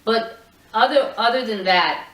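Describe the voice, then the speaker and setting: A middle-aged woman from Puerto Rico, a photographer answering interview questions in a thoughtful, halting way with many restarts. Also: on the high side, fairly bright tone. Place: indoor room with her camera collection. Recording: roomy sound, mic at a distance.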